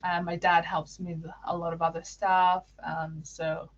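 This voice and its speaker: A young woman from Kenya chats through interview questions, laughing easily and tripping over her own words. Her voice sits a little low, and the recording sounds muffled and lo-fi. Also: level tone, unhurried. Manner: giggly, many fillers and restarts. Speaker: interview guest. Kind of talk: casual interview answers.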